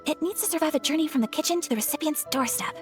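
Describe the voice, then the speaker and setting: An actress as a young game noblewoman, high voice, refined, courteous, gentle, proper, faint music behind. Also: racing, natural voice. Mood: earnest. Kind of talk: video game voice acting.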